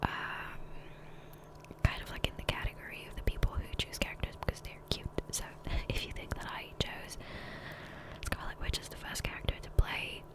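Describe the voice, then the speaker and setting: A woman speaking in a soft, breathy whisper close to the microphone, rambling casually and self-deprecatingly as an ASMR gaming creator. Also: moderate pace, poor audio. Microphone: close USB condenser microphone.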